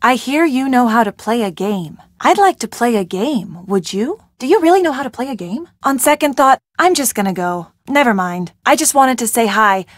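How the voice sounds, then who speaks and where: A woman's voice: fairly high pitch, animated, normal speed, few pauses, dry recording, clean studio sound. An actress playing a curious young female detective, delivering short, casual and direct lines of video game dialogue. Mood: friendly, apologetic.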